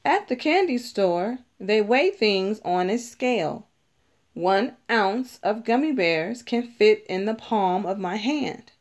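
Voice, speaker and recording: A woman reading a children's picture book aloud, deliberately, stressing key words as she teaches. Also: mid-range voice, expressive. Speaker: read-aloud narrator.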